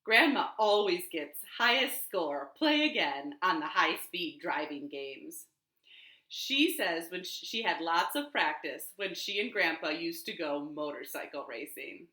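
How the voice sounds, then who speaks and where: A woman hosting storytime for young children, speaking in an animated, clearly paced way as she shares rhymes and picture books from her living room. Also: on the low side, measured pace. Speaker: children's storytime host at a library. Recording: roomy, echoing home living room.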